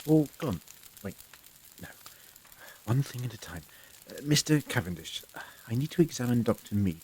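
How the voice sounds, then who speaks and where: A man's voice: medium pitch, big pitch swings, moderate pace, husky, low-quality recording. A man playing an earnest, inquisitive time-travelling doctor in an audio drama, grave and precise in his explanations. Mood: concerned, questioning. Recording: loud music under the voice.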